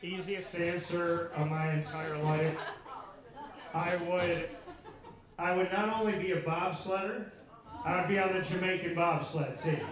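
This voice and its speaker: A man hosting a casual live on-stage interview, joking and encouraging in an animated way. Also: sits high, unhurried. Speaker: show host. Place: pub stage, in front of an audience. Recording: echoey pub, distant mic, crowd chatter.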